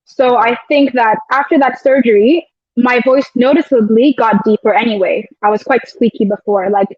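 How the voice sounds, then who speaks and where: Woman guest, high voice, dull dry sound. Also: normal speed, husky, no room sound. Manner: reflective, storytelling with quoted asides. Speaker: guest, air traffic control officer. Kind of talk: casual interview chat.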